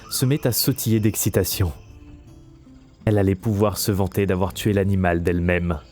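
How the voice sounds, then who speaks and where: A man's voice: low-pitched, darkish tone. A man narrating a scripted fantasy audio story as a storyteller. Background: faint music.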